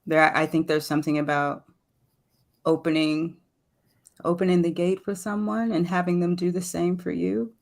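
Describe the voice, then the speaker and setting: Lady with a lowish voice, chatting thoughtfully, kinda halting, figuring it out as she talks. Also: steady tone, gravelly. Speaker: poet, guest speaker. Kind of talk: casual reflective conversation.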